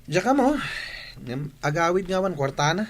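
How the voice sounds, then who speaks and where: An actor playing a carefree, cheeky young student who talks flippantly and defensively in a radio drama. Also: male voice, high-pitched, animated, normal speed. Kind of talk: regional radio drama acting.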